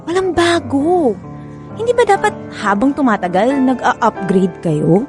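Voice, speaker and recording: A woman with a Filipino accent playing a frank, caring best friend who gives advice in a radio drama, with expressive, drawn-out emphasis. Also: sits high, over music. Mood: advising, emphatic.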